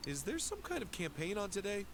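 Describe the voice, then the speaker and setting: A chatty young male store clerk in a game, lively high voice, casual gossipy delivery, hiss underneath. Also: fairly quick. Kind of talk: video game dub.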